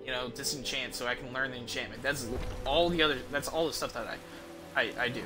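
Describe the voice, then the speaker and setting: A man's voice: mid-range voice, brisk, over music. A man casually commentating on his video game as a streamer, rambling and thinking aloud with offhand swearing.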